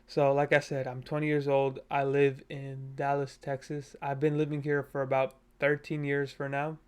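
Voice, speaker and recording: A man's voice: mid-range voice, completely flat delivery, moderate pace, smooth. A man in his twenties, from Nepal, talking earnestly and casually on a self-improvement vlog from his bedroom on a cheap desk mic. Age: 20s. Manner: earnest, self-motivational, loose with fillers. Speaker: vlogger. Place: bedroom at his parents' house. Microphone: cheap USB desk mic.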